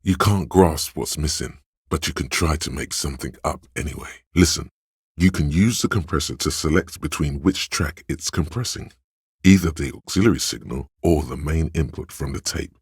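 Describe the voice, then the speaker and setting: A man voicing the brooding, sly inner voice of a detective's mind in a video game, narrating in a measured, theatrical way with a gravelly, husky voice. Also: rumbling low, no echo, clean studio sound, heavily acted. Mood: wry, deliberate. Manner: measured, deliberate, theatrical delivery. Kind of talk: dramatic scripted game narration of inner thoughts.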